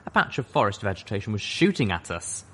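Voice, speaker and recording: Man, audiobook narrator, slightly low voice, steady first-person storytelling. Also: fast talker, a little hiss or hum. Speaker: audiobook reader. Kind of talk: fan-fiction audiobook narration.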